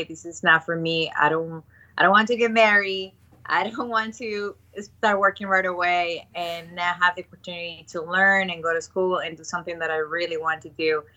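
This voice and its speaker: Woman from Ecuador with bright sound. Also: lowish voice, muffled recording. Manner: earnest, frequent restarts and fillers. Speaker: guest. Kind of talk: casual interview conversation.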